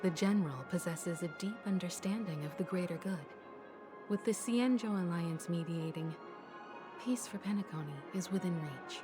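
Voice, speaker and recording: A woman's voice: fairly low pitch, moderate pace, natural voice. A woman voicing a serious, respectful and composed officer in a video game, speaking formally. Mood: composed, assured. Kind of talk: scripted video game voice acting. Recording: game music behind the voice.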